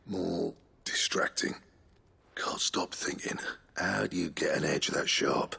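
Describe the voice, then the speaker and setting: Gravelly, husky gruff blacksmith. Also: male voice, deep voice, slow, bright, forceful tone, full character voice. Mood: gruff, preoccupied. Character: gruff, preoccupied male master blacksmith, warm to friends. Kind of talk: dramatic video game dialogue.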